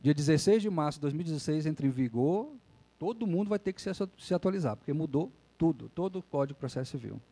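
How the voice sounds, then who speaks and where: Mellow-voiced man giving a talk. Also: on the high side, animated, quick pace. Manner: conversational, frequent "né?" tag questions. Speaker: lawyer and lecturer. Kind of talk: public lecture.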